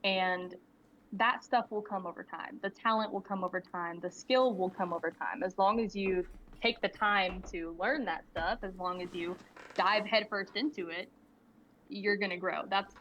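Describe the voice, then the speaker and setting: A woman's voice: mid-range voice, fairly bright tone, a little hiss or hum. Young woman, candid, slightly muffled recording. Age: young adult. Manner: candid, rambling, laughs easily. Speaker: guest, indie animator and creator. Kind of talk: casual interview answers. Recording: slightly muffled lo-fi audio with faint background ambience.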